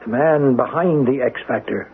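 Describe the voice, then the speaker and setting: A male actor playing a probing, cautioning project insider in a 1970s radio drama, speaking in a deliberate, needling way. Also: mid-range voice, natural voice. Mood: knowing, cautioning. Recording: tinny, noisy old radio recording.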